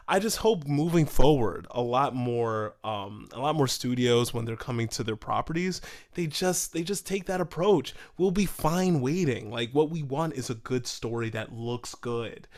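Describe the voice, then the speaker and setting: Man talking casually, mid-pitched. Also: unhurried. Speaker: podcast co-host. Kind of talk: casual opinion talk.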